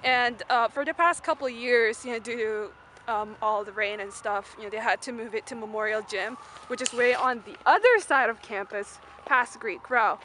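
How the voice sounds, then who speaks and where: A woman's voice: fairly high pitch, normal speed, pushed and bright. A young woman from Malaysia giving a casual, unscripted walking-tour vlog in a rambling, informal way full of fillers. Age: young adult. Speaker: student vlogger. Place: mostly outdoors on a university campus. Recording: noisy handheld outdoor camera audio.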